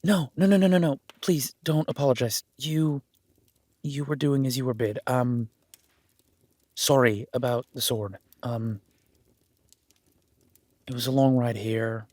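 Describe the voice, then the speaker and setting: A man's voice: medium pitch, unhurried, pauses often, darkish tone, no room sound, natural voice. A man playing an awkward, self-deprecating young werewolf duke in a roleplay audio drama, stammering and apologetic, speaking informally to the listener. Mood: apologetic, nervous.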